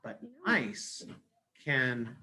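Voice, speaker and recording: A man's voice: mid-range voice, big pitch swings, extremely slow, a crowd in the background, pitched below the performer's own voice, full character voice. A man voicing a small, polite mother mouse from a storybook, with a reassuring manner.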